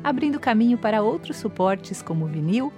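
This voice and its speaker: Woman reading a radio doc intro, formal and smooth, music pretty clear behind. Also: on the high side, lively intonation, fairly quick. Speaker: program narrator. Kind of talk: scripted radio documentary narration.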